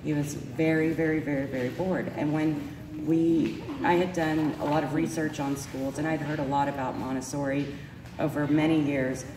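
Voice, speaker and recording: A woman's voice: deep voice, fairly even intonation, moderate pace. A woman, stuffy from allergies and a little sore-voiced, giving an earnest parent testimonial with many ums. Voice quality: slightly sore voice. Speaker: parent speaking at a school event. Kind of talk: personal testimonial speech. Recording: echoey hall, speaker far from mic, noisy.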